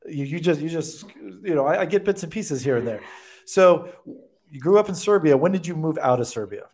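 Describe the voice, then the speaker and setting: A man's voice: on the high side, lively intonation, brisk, darkish tone. An American man around his forties hosting a podcast, interviewing in a casual, conversational way on a close desk microphone. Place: indoor room with bookshelves, on a video call. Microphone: desk microphone close to the mouth.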